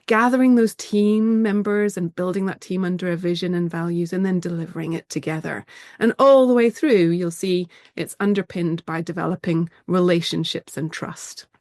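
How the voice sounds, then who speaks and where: A woman's voice: fairly low pitch, moderate pace, a little mellow, no room sound, studio quality. A woman with a slight cold and a nasal voice, giving an enthusiastic slide presentation as a museum director over a video call.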